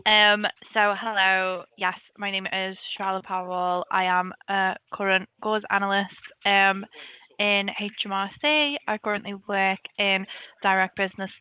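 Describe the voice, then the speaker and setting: A woman in her twenties with a Liverpool accent, a government analyst giving a chatty, informal career talk at a quick clip. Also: mid-range voice, steady tone, pushed and bright, smooth, no room sound, lo-fi sound. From Liverpool, England. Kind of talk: casual webinar presentation.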